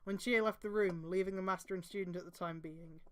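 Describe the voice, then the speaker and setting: A woman's voice: on the low side, steady tone, brisk. A woman reading amateur fan fiction aloud on a stream, breaking off for casual, exasperated asides with some swearing.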